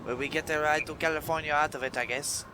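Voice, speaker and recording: A man playing an excitable, countrified Old West working fellow in a comic dub. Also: fairly high pitch, fast talker, background noise, close to an everyday voice. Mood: uneasy.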